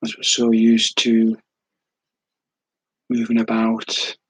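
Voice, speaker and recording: A man leading a guided meditation in a calm, soothing, unhurried way, with a soft, breathy voice and long pauses. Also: lowish voice, no room sound, low-quality recording. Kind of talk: guided meditation, slow spoken instruction.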